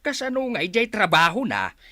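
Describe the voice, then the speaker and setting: A man's voice: sits high, huge pitch swings, fairly quick, pushed and bright, no room sound, clean studio sound, full character voice. A man playing a concerned older father with a Filipino accent in a radio drama, exclaiming and questioning. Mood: suspicious. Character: concerned old father asking after his drinking son.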